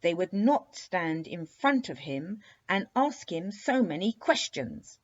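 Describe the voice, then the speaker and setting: A woman voicing an indignant, self-pitying sea serpent for a children's story, with a hoarse, drawn-out, growling delivery. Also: fairly low pitch, big pitch swings, highs cut off, full character voice. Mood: severe grumbling.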